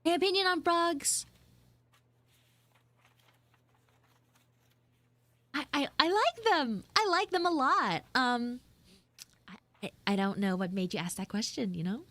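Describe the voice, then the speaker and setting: A woman voicing a flustered, grudging tsundere frog girl in a live audio roleplay, speaking casually with halting stammers. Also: high voice, lively intonation, fairly bright tone, no echo. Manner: halting, stammering, drawn-out delivery.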